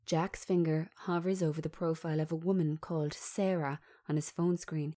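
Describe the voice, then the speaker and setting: Woman, scripted narration, stage directions, soft dark tone, slightly low pitch. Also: steady tone, normal speed.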